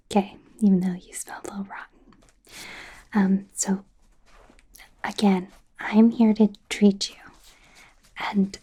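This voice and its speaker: Slow, breathy whispering woman as a nervous werewolf doctor. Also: medium pitch, warm tone. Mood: awkward, apologetic. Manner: hesitant, rambling, self-deprecating. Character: nervous, awkward, apologetic female werewolf doctor. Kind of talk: whispered ASMR roleplay.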